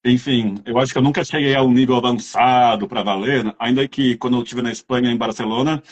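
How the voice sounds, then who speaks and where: A man's voice: mid-range voice, fairly even intonation, quick pace, lo-fi sound. A man with a Brazilian accent, chatting casually as an interviewer and telling rambling anecdotes. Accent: Brazilian Portuguese.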